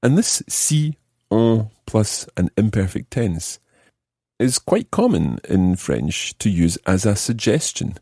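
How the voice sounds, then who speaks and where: A man's voice: fairly low pitch, big pitch swings, takes it slowly, warm tone, slightly rough, dry recording, clean studio sound. A man teaching a language lesson, explaining and translating phrase by phrase with careful enunciation. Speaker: language teacher, podcast host.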